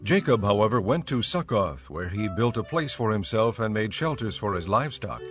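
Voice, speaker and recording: A man narrating a dramatized audio Bible in a measured storytelling manner. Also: lowish voice, sing-song, soft background music.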